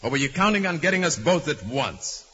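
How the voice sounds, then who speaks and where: A man playing a calm, shrewd crime-solving hero with a measured, commanding manner in 1940s radio drama. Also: a little high. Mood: coolly challenging. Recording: muffled, faintly noisy vintage radio.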